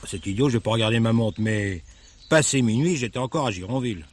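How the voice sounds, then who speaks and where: A man's voice: medium pitch, fairly quick, close to an everyday voice. An actor playing a plain-spoken, deferential village fireman giving testimony in a colloquial, hesitant way, in a French radio crime drama. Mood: explaining, deferential.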